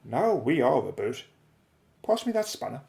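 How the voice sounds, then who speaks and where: A man's voice: medium pitch, sing-song, moderate pace, creaky, darkish tone. An actor playing a bold, decisive male explorer in a radio drama, giving clipped, matter-of-fact orders. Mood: urgent, decisive.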